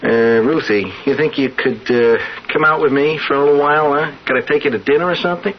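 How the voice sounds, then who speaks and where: An actor playing an eager, insistent working-class man in love and newly rich, with halting, pleading street talk in a 1970s radio drama. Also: mid-range voice, slight background noise, close to an everyday voice. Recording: muffled old radio broadcast with music.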